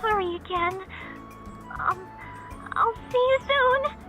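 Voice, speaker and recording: A woman's voice: very high-pitched, animated, slow pace, music in the background, heavily acted. A woman voicing a shy, polite, easily flustered college girl in an anime-style story game, with a hesitant, apologetic manner. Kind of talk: anime-style visual novel game acting.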